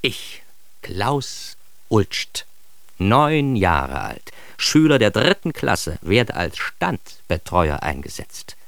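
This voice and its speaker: A man's voice: on the low side, very animated, extremely slow, creaky, fairly bright tone, dry recording, heavily acted. An actor playing an ironic, self-important man who narrates his childhood in a radio drama, with wry, mock-grandiose emphasis. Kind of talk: scripted first-person radio drama narration.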